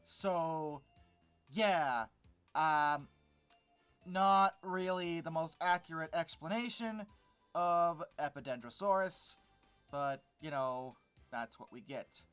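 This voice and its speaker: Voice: medium pitch, takes it slowly, pauses often, a bit bright, clean tone, soft background music. A video host giving informal, exasperated and sarcastic commentary on an article, stressing words emphatically.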